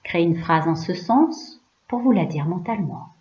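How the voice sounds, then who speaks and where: A woman yoga teacher leading a guided relaxation in a hushed, soothing, unhurried way, leaving pauses between short phrases. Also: on the low side, animated. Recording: roomy, slightly dull recording.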